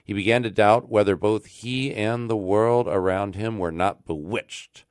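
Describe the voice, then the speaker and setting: A man with a low-pitched American voice narrates an old story in a dry, close recording. His delivery is deliberate and expressive, leaning into key words for dramatic emphasis. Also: clean tone, dry recording. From United States. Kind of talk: scripted narration of a classic tale.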